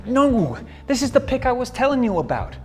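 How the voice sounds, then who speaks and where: A man's voice: extremely high pitch, big pitch swings, fairly quick, darkish tone, soft background music. Man playing an eager young rock fan.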